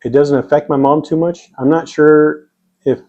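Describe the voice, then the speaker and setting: A man's voice: a little high, steady tone, moderate pace, dark tone, lo-fi sound. An American man explaining things step by step in a plainspoken, casual vlog style. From United States. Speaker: vlogger. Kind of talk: casual explainer to camera or screen.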